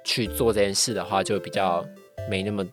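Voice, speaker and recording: A woman's voice: rumbling low, fairly even intonation, a little fast. A woman with a Taiwanese Mandarin accent chatting casually as a podcast guest. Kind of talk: casual chat. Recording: music playing underneath.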